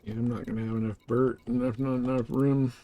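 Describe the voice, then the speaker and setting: A man chatting casually as he streams a game, halting and restarting his phrases as he goes. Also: mid-range voice, level tone, phone-quality sound. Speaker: live streamer playing a space trading game. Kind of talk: casual chat with viewers while gaming.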